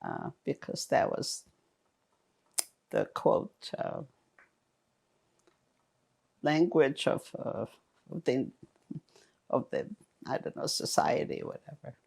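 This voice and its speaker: Halting, gravelly woman in her eighties. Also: a bass voice, sing-song, a little mellow. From Romania. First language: German. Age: 80s. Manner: slow, halting, with many fillers and restarts. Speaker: interviewee. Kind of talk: oral history testimony.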